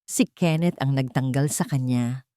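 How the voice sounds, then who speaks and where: A woman's voice: very deep voice, measured pace, warm tone, clean tone, no room sound, clean studio sound. A woman with a Filipino accent reading a scripted drama as an audiobook narrator, in a dramatic storytelling style.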